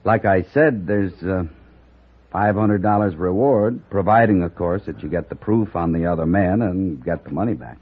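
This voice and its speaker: Low-voiced army colonel, played by a man. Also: normal speed, slight background noise, close to an everyday voice. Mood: persuasive. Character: hearty, earnest male army colonel in the old West. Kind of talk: old-time radio drama acting. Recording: muffled old radio recording.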